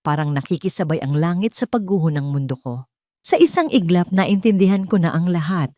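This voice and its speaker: A Filipino woman narrates a dramatic audio story in a low voice. Her delivery is solemn and emotive, as scripted first-person storytelling. The sound is dry and close, with no room around it. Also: animated, a little fast, darkish tone, no echo.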